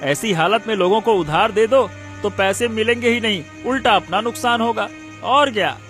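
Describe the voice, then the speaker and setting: A man's voice: high-pitched, normal speed, fairly bright tone. A man voicing a gloating, crooked village shopkeeper with a smug, mocking manner in a cartoon. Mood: smug, gleeful. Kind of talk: cartoon story character acting. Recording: music under the voice.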